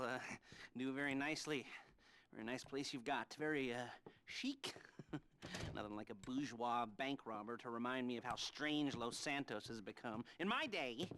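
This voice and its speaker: A socially inept American male heist planner in a video game. His voice is middle-pitched with a tense, forceful edge. He talks in halting, nervous bursts with dry wryness, over faint background ambience. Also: a little hiss or hum. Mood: sardonic. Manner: halting, stammering, nervous, wry. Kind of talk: video game cutscene acting.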